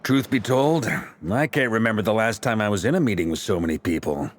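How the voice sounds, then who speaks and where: A man's voice: lowish voice, lively intonation, fairly quick, slight background noise, natural voice. A man playing a genial, teasing, charismatic knight commander in a video game, with a jovial, theatrical manner. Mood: amused, teasing.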